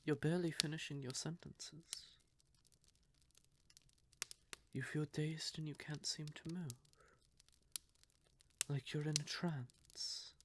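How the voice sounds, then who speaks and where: A man playing a courtly, possessive vampire lover in an audio roleplay, speaking theatrically and deliberately with syrupy endearments and breathy, quietly menacing undertones. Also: fairly high pitch, steady tone, noisy background, close to an everyday voice. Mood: feigned concern. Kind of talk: scripted audio roleplay addressed to the listener.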